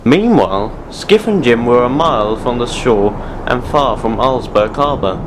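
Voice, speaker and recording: A man's voice: medium pitch, animated, normal speed, noisy background. A man narrating a scripted adventure story for an amateur audio drama.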